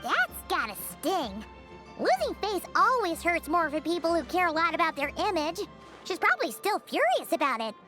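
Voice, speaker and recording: A tiny, excitable game fairy chatters in an extremely high, childlike voice. The delivery is bubbly and highly animated, swinging up and down, with music playing behind it. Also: female voice, moderate pace, full character voice. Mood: musing. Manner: bubbly, expressive, speaks of self in third person. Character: small chirpy childlike female fairy companion, excitable and chatty. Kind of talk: video game voice acting.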